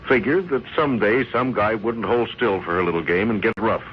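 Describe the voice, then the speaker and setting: A man playing the calm, dependable pal in a vintage radio thriller. His deep voice is measured and placating, with something evasive about it. The old recording is muffled and lightly hissy. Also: natural voice. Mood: explaining. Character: steady, dependable male friend, calm and evasive. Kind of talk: old-time radio crime drama acting.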